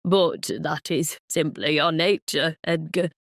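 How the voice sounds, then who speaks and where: A woman voicing a formal, dryly exasperated male household retainer in an audiobook romance, chiding with deliberate phrasing. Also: low voice, steady tone, no echo, studio quality. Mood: dry, reproving.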